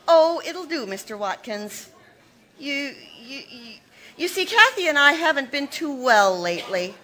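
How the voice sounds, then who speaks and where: An actress playing a scheming spinster sister in a live recreation of a 1940s radio mystery, putting on a halting, stammering show of distress. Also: female voice, high-pitched, very animated, bright, forceful tone, exaggerated voice. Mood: feigned distress.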